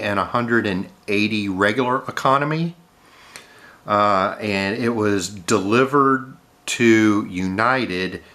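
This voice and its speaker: Slow-talking man with a stuffy nasal cold. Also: lowish voice, pushed and bright. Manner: halting, many uh fillers and throat clearing. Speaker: model airplane collector. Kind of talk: casual unboxing narration.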